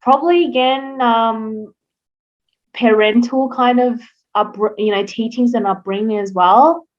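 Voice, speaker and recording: A woman's voice: a little high, steady tone, a little slow. A young Australian woman answering interview questions in a casual, rambling way, with lots of fillers and restarts. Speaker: interview guest. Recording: slightly dull lo-fi sound.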